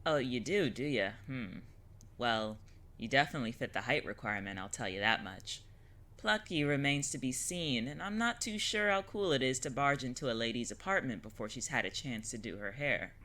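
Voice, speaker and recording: A woman playing a gruff, sardonic witch in an audio drama, wry, slangy and easily exasperated. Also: rumbling low, lively intonation, moderate pace, fairly bright tone. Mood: wry, irritated.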